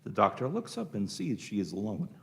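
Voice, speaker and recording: Male narrator for radio drama, gravelly and lively, scripted stage directions. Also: fairly low pitch, brisk.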